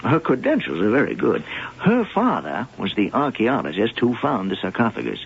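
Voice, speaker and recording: Gravelly, hesitant old-radio professor. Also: male voice, mid-range voice, huge pitch swings, a little hiss or hum. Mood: scholarly, guarded. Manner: hesitant, deliberate, with ums and pauses. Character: learned, measured male museum professor, expert on cats. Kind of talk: old-time radio drama acting. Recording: dull old radio drama recording.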